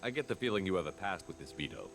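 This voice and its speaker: An actor playing a principled, firm military commander in a video game, speaking plainly over loud action noise. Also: male voice, low voice, animated, rapid-fire, creaky. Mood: probing. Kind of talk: cinematic video game dialogue.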